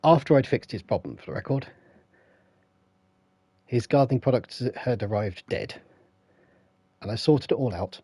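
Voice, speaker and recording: An English man with a croaky voice, feeling unwell, rambling through stories while greeting his live stream chat on a headset. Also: mid-range voice, lively intonation, normal speed, pauses often, dark tone, no echo. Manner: rambling, anecdotal, stops to greet viewers. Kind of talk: casual storytelling to live chat.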